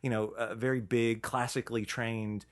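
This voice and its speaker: A man co-hosting a podcast in casual conversation, chatty and halting as he tells things. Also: medium pitch, little pitch movement. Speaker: podcast co-host.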